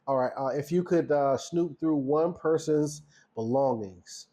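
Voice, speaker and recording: A man's voice: fairly high pitch, unhurried, warm tone, slight background noise. Chatty man hosting a casual interview. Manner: friendly, chatty, playful questioning. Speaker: host.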